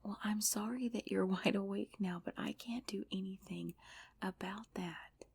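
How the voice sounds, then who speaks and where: A woman playing an affectionate, sleepy girlfriend in an ASMR roleplay, whispering and murmuring softly with a breathy voice. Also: mid-range voice, fairly even intonation, moderate pace. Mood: gently pleading.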